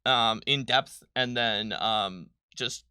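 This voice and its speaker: A man chatting casually as a game designer co-hosting a livestream, explaining things with halting restarts. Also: medium pitch, sharp tone, smooth, dry recording, studio quality.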